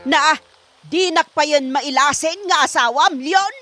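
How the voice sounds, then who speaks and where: A woman's voice: sits high, slow pace, bright, forceful tone, no echo, full character voice. A woman playing an exasperated wife who nags and scolds her drunkard husband in a comedy drama, incredulous in manner. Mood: scolding. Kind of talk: comedy audio drama acting.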